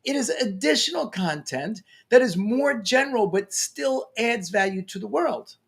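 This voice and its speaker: Lively New York man giving a pep talk. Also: high-pitched, unhurried, vocal fry. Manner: energetic, emphatic, playful. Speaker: LinkedIn coach. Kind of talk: motivational talk to camera.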